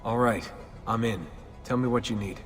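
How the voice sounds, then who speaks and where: An actor playing a sardonic, reluctant young hero in a video game, talking casually. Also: male voice, lowish voice, moderate pace, close to an everyday voice. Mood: wry. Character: wry, reluctant young male hero on the run. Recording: long reverb, music behind.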